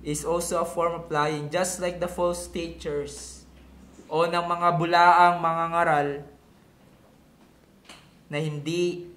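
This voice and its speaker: A Filipino man delivers a sermon in a high voice, taking his time over each phrase. He teaches point by point, asking rhetorical questions and stressing key words by repeating them. The room around him echoes noticeably. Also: a bit bright, slight background noise. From Philippines. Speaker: pastor. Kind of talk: sermon mixing Tagalog and English.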